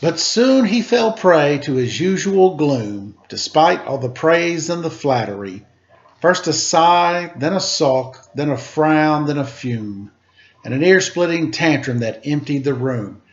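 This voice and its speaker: A man reading aloud to children as a storyteller, with theatrical, drawn-out emphasis and casual asides. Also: a little high, lively intonation. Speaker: storybook reader, teacher. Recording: muffled lo-fi recording.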